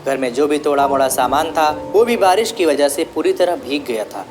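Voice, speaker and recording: धीमे, नीचे सुर वाली महिला कथावाचक. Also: female voice, deep voice, lively intonation, moderate pace, music in the background. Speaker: storyteller. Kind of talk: scripted children's story narration.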